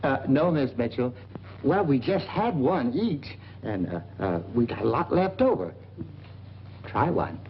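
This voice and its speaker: An actor playing a boastful, wheedling small-time schemer in an old film comedy, with folksy, ungrammatical talk. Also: male voice, mid-range voice, very animated, a little slow. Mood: sheepish, flustered. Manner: folksy, ungrammatical, blustering bragging. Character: boastful, wheedling boarding-house man, middle-aged, small-time schemer. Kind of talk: 1940s film comedy acting. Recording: muffled, noisy old film soundtrack.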